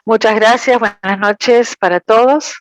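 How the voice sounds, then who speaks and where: A woman interpreting a talk, careful and measured. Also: fairly high pitch, steady tone, dry recording, dull audio. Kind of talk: consecutive interpretation.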